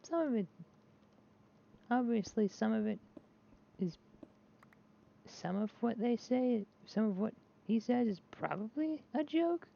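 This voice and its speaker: A woman's voice: fairly high pitch, animated, slow, creaky, long pauses, dark tone. A woman vlogging casually while she plays a game, talking in a rambling, hesitant way with many restarts and filler words, candid and self-deprecating. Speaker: gaming vlogger. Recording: dull lo-fi mic with faint noise.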